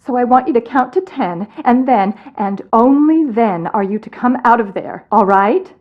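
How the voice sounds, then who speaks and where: An actress playing a high-strung, irritable, squeamish woman boss in a video game, commanding and exasperated. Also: expressive, moderate pace, highs cut off, natural voice. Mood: bossy, anxious.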